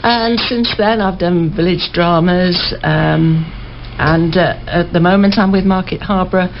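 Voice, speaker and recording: A woman's voice: on the low side, a little slow. A middle-aged woman chatting hesitantly as a guest in a casual radio interview. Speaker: interview guest, amateur actor. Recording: dull, clearly noisy recording.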